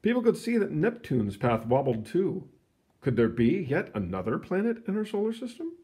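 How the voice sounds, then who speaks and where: Expressive man reading aloud, very animated intonation. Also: on the high side, normal speed, breathy edge. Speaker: read-aloud narrator. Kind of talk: reading a children's science book aloud, with short asides.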